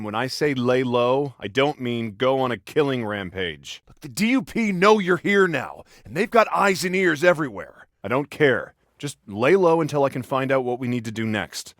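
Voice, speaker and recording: Actor as a dry, exasperated American cop, swinging pitch. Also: male voice, expressive, moderate pace, hardly any pauses, a bit bright, no echo, natural voice. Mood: cautioning, firm. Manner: dry, sarcastic, conversational. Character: exasperated protective adult cop, sarcastic older brother. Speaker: police officer character in a video game. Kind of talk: video game voice acting.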